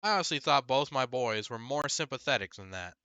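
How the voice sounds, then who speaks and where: A man's voice: mid-range voice, animated, brisk, pushed and bright, smooth, no room sound, voice pushed up. A man voicing a stern but caring, earnest mother of two boys in a storybook. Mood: angry, scolding. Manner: scolding, earnest.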